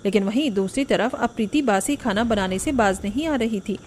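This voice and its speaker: A woman's voice: mid-range voice, a little fast, a little mellow, a little hiss or hum. A woman reading scripted story narration as the narrator. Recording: faint music bed behind narration. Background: background music.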